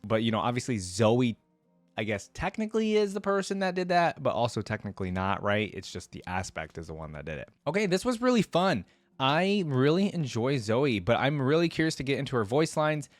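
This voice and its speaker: A male reaction host talks casually and with enthusiasm. His pitch is on the low-middle side and moves around a lot as he comments. The sound is dry, with music faintly underneath. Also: no room sound. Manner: expressive, enthusiastic.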